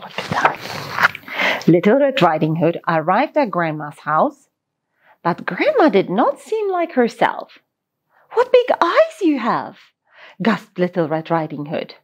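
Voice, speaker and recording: Female children's storyteller: expressive, swooping intonation, unhurried delivery, playful asides. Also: mid-range voice.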